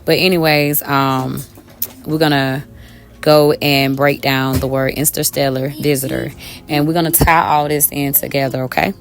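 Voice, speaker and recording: Woman, raspy, a bit hoarse, low-pitched, casual Bible teacher, talks to viewers as y'all, faint music underneath. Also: level tone, moderate pace. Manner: talks directly to viewers as y'all, with many um fillers. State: a little hoarse. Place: at home with her children around.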